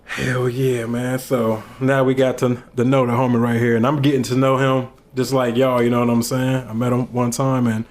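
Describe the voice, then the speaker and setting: A man's voice: mid-range voice, little pitch movement, normal speed, hardly any pauses. A thirty-year-old man from Ohio hosting a casual podcast chat, laid-back and slangy, talking into a desk mic in a small studio. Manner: laid-back, slangy, hypes up his guest. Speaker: podcast host. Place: small studio with backdrop, indoors. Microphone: desk mic on boom arm.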